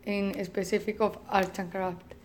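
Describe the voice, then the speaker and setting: A young woman explaining carefully with hesitant pauses, co-hosting a teacher podcast. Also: medium pitch, flat delivery, a little hiss or hum. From Spain.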